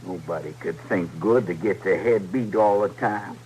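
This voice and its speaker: An actor playing a simple-minded, trusting young outlaw in an old radio Western, with slow, halting, plaintive speech and an American Western drawl. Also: male voice, sits low, a little mellow, close to an everyday voice. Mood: aggrieved, plaintive. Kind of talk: old-time Western radio drama acting. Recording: noisy vintage radio recording.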